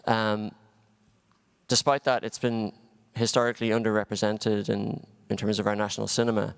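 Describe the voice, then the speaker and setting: A man's voice: fairly low pitch, flat delivery, moderate pace, creaky, clean tone. A man from Dublin with an Irish accent, a film director answering questions on a festival panel in a thoughtful, restarting way. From Ireland, Dublin. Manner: thoughtful, with frequent restarts and ums. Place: festival venue hall. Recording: long hall reverb.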